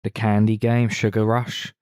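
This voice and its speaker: A man gives his opinions as a podcast co-host. His voice is a bit low and his talk is casual and conversational. The sound is very dry, without room echo. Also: monotone, normal speed, darkish tone, smooth. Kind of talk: casual film discussion.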